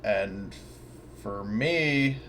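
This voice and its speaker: A man's voice: fairly low pitch, animated, drawn-out words, a bit bright. Man playing tabletop games, noisy call. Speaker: tabletop RPG player. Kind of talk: casual game-session chat.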